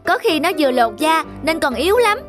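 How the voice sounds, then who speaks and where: A woman voicing wary, mean village boys in an animated fairy tale, excitable and childlike. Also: sits high, brisk, pitched above the performer's own voice. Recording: music under the voice.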